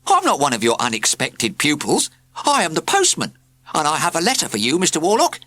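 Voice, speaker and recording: Self-pitying mountain postman, high and lively, put on by a man. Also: fairly quick, full character voice. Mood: protesting. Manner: lamenting, self-pitying, jokey. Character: weary, complaining male mountain postman.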